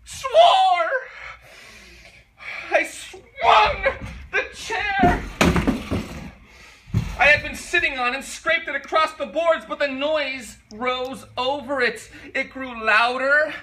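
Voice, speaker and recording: A man's voice: very high-pitched, pushed and bright, raised voice, exaggerated voice. A man playing a guilty, nervous murderer coming undone, in a theatrical dramatic reading of a gothic horror story. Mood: frenzied panic. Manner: theatrical, deliberate, intensely emotional. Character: guilty, nervous murderer unraveling into frenzied madness. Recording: noisy, distant microphone.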